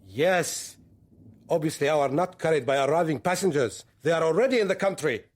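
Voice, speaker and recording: High-pitched, commanding militant leader. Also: male voice, animated, fairly quick. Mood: smug, boastful. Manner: authoritative, probing, condescending. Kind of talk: radio drama acting.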